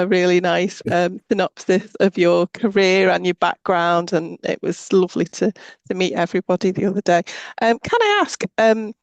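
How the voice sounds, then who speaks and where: A woman's voice: mid-range voice, dry recording. Friendly woman host with a dry sound. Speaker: podcast host. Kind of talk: podcast interview questions.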